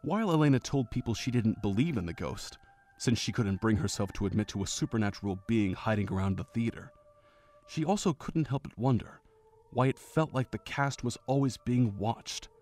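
Guy reading a fan audio drama story, even mid-pitched, steady pace. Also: normal speed. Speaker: narrator.

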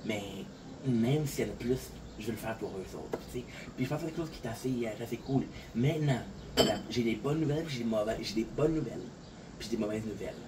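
Un homme de Montréal à l'accent québécois, un peu fatigué, qui parle de façon décousue en se répétant beaucoup. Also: male voice, medium pitch, fast talker, background noise. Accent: Québécois French. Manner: rambling, repeats words, frequent fillers. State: a little tired. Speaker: content creator. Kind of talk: casual talk to camera. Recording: distant voice with clear outdoor ambience.

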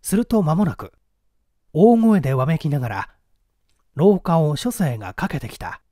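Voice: mid-range voice, big pitch swings, moderate pace, dark tone, no room sound, clean studio sound. A narrator reading a mystery story aloud in a dramatic scripted style.

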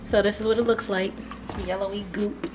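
A woman's voice: medium pitch, flat delivery, brisk. A young adult woman chatting casually as she vlogs at home, recorded on a camera a few feet away. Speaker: vlogger. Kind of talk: casual home cooking vlog chat. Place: small home kitchen, indoors. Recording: echoey kitchen, distant camera mic, music behind.

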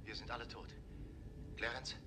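A man's voice: medium pitch, steady tone, normal speed, background noise, lightly acted. Man playing a grave, shaken space engineer. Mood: grim, shaken. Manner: terse radio reporting. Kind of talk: 1960s German TV science fiction acting. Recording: 1960s TV soundtrack with music behind.

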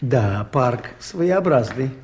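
An actor playing a mild, inquisitive priest detective in a Soviet radio play, with a hesitant, gently questioning manner. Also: male voice, medium pitch, lively intonation, drawn-out words. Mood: curious, mild. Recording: noisy 1980s radio play recording.

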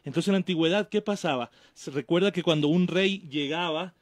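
Young man explaining calmly, slightly high. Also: a little fast, no room sound, studio quality. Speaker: guest pastor. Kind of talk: explanatory talk-show conversation. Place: small studio with painted backdrop. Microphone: desk mic on stand.

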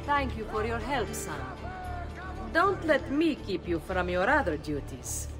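Poised, animated Italian noblewoman. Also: mid-range voice, measured pace, music in the background. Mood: gracious. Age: middle-aged. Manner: composed, knowing. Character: poised, wry, middle-aged Italian noblewoman and mother. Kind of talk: dramatic video game acting.

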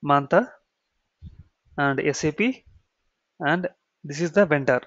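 A man with an Indian accent giving an explanatory software tutorial, repeating key phrases and checking in with "okay" and "right". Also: fairly high pitch, slow pace, dry recording. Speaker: software trainer. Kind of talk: explanatory screen-share tutorial. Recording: dull, muffled lo-fi sound.

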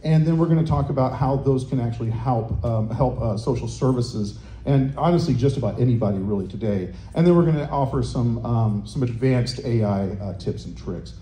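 A middle-aged man giving a conference talk on a handheld microphone in a hotel meeting room, loud and booming, with many fillers and restarts. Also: brisk. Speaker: conference presenter. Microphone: handheld microphone through room speakers. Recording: echoey conference room, faint noise.

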